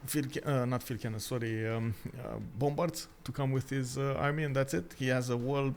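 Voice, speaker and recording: A man from Romania with a Romanian accent, hosting a stream and commentating a strategy game in chatty, halting talk that breaks into frequent laughs. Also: medium pitch, steady tone. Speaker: esports caster and stream host.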